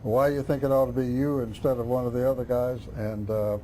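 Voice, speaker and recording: A middle-aged man hosting a local candidate forum, talking haltingly with many "uh" fillers. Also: mid-range voice, flat delivery, dark tone, a little hiss or hum, low-quality recording. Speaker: talk show host.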